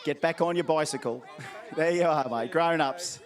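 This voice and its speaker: A man's voice: a little high, moderate pace. An Australian man acting as MC at an outdoor event, handing out prizes with playful, teasing crowd banter.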